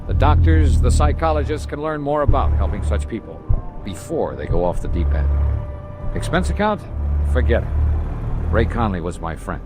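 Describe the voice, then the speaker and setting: An American actor playing a tough, shrewd investigator in a 1950s radio drama, clipped and knowing. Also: male voice, mid-range voice, sing-song, normal speed, close to an everyday voice. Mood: grim, assured. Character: tough, shrewd male insurance investigator, narrating his case. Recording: old radio broadcast with loud music.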